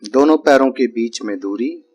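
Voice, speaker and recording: A man giving guided relaxation instructions as a yoga nidra teacher, speaking calmly and deliberately in short, repeated phrases with pauses. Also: medium pitch, breathy edge.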